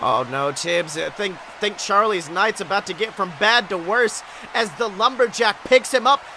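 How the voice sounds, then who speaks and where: A man's voice: high voice, moderate pace, bright, forceful tone, slight background noise. A man doing dramatic, exclamatory play-by-play wrestling commentary as a podcast host, with a breathless delivery.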